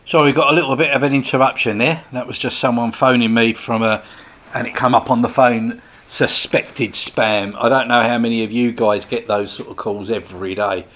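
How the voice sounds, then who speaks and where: A man's voice: medium pitch, steady tone, brisk, fairly bright tone, dull audio, a little hiss or hum. A man, talking casually to viewers in a rambling, chatty way full of restarts and asides. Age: 60s. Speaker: host, direct sales business owner.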